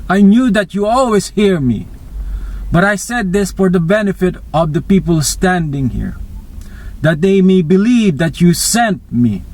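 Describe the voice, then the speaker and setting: A man's voice: high voice, lively intonation, unhurried, darkish tone, voice pushed up, heavily acted. A man voicing a solemn, commanding holy man in a Bible story, declaiming earnestly. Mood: prayerful.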